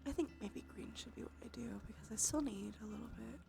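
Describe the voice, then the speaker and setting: A woman's voice: fairly high pitch, fast talker, gravelly. A woman livestreamer giving whispered ASMR commentary on a game, murmuring as she thinks aloud. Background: music.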